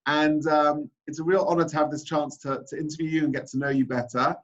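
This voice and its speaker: Male rabbi host, friendly and keen interviewer, slightly high voice, muffled call audio. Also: little pitch movement, fairly quick. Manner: friendly, enthusiastic. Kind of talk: casual remote interview. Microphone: video call. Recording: dull lo-fi video call audio.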